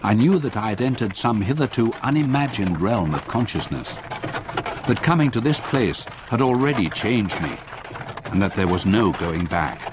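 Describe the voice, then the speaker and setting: An actor playing a haunted older gentleman, narrating his memories gravely and deliberately in a radio drama. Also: on the low side, expressive, noisy background, lightly acted. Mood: brooding, grave. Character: haunted older English solicitor recalling terror.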